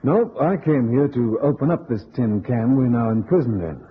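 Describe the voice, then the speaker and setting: Sardonic tough-guy sleuth played by a man, slangy and blunt, middle-pitched, dull vintage radio sound. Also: expressive, normal speed, a little hiss or hum, close to an everyday voice. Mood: sardonic, probing. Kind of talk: golden-age radio detective acting. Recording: dull old radio recording.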